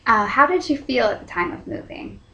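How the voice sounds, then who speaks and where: Woman, interviewer, soft-spoken, higher pitch, roomy echo. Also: animated, a little fast, creaky, dull audio. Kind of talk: reading interview questions.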